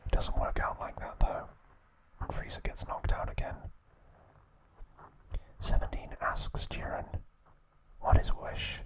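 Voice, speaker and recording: A man whispering an ASMR recap of trivia, pausing now and then to correct himself. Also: rough recording. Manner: slow soft whisper, occasional self-corrections. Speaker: ASMR host.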